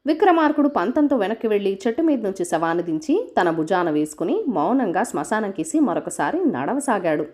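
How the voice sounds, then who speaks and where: A woman's voice: mid-range voice, big pitch swings, a little fast, few pauses, darkish tone. A woman reading a scripted folk tale as a storyteller for children.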